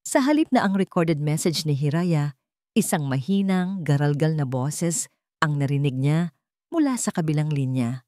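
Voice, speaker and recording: A woman narrator reads a scripted drama in a slightly low voice. Her delivery is that of dramatic storytelling. The recording is dry and close, free of room echo. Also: lively intonation, normal speed, mellow.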